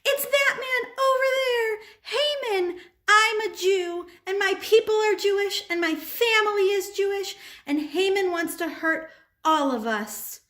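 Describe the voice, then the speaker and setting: A woman voicing a timid, gentle young queen in a children's puppet show, meek and plaintive. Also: extremely high pitch, measured pace, pitched above the performer's own voice. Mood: earnest.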